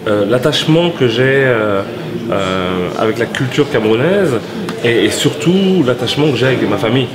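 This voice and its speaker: Hesitant man over loud crowd noise. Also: mid-range voice, animated. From France. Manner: halting, many "euh" pauses and restarts. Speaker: interview guest, company CEO. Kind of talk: hesitant conversational interview answers.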